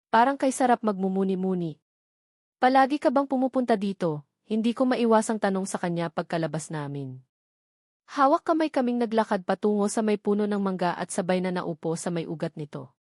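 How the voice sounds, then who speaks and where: A woman's voice: mid-range voice, darkish tone, dry recording. A woman reads a romance novel in the first person as an audiobook narrator. Her delivery is scripted storytelling, recorded dry with hardly any room sound.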